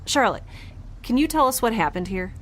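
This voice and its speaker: An actress playing a guarded, flustered young woman in a sci-fi audio drama, halting, defensive and rambling in a naturalistic style. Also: on the high side, very animated, a bit bright, a little hiss or hum.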